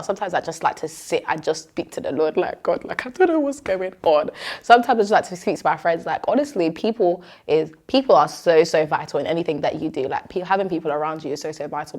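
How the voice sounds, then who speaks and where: A young woman with a British accent, chatting casually and expressively as she answers questions as a host and content creator. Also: fairly low pitch. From Nigerian-born, moved to Britain at three.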